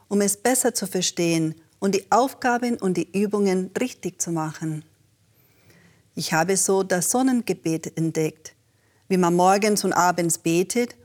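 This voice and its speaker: A woman presenting a religious program, reading listener letters in a gentle, reflective way. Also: mid-range voice.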